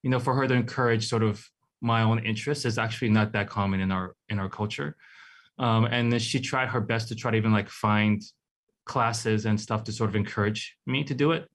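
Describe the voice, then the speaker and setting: A man who grew up in Hawaii, talking casually about his life as an artist in a relaxed, rambling way full of fillers. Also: lowish voice, fairly quick. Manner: relaxed, rambling, many "like" and "you know" fillers. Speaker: artist guest. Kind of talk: casual interview chat.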